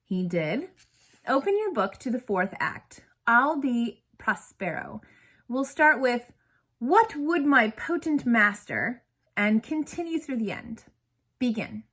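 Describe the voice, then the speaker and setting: A woman reader puts on an exacting older schoolteacher from a storybook. She is stern and commanding, stretching key words with crisp emphasis as she coaches drama. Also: fairly high pitch, very animated, takes it slowly, a bit bright, full character voice. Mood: exacting, dramatic.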